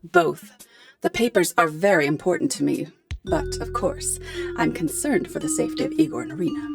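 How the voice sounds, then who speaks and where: An actress playing a poised, articulate professor in a radio drama, explaining things in a measured, polite way. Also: female voice, on the low side, sing-song, creaky, loud music behind the voice. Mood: concerned. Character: poised, articulate female professor hiring a detective.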